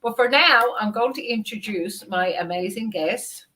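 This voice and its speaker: An Irish woman hosting a business interview, effusive and upbeat as she talks to her audience and guest. Her voice sits at a middle pitch. It comes through a video call. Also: normal speed, bright, forceful tone, low-quality recording. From Ireland. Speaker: host. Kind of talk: interview host introduction.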